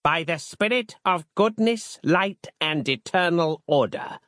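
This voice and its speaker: A man's voice: a little high, expressive, a little slow, fairly bright tone, no echo, studio quality, pitched above the performer's own voice. A man voicing a stern, pious seventeenth-century ancestor spirit in a storybook, with an archaic, commanding, sermonizing manner. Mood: imperious.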